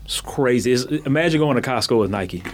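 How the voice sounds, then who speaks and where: A man's voice: medium pitch, animated, a little fast, slight background noise. A man chipping in on a casual podcast chat.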